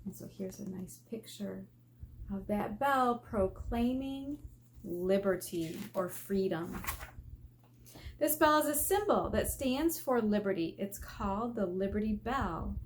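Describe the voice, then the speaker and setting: Female elementary teacher, unhurried read-aloud for young kids, expressive with stressed key words, mid-pitched, slight background noise. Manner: expressive, asking the listeners questions, emphatic drawn-out key words. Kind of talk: read-aloud lesson for children.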